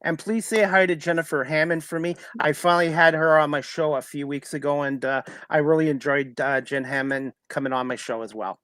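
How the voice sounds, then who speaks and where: A man's voice: on the high side, steady tone, fairly quick, hardly any pauses, a bit bright, no echo, clean studio sound. A man hosting a sports talk show in a casual announcing style.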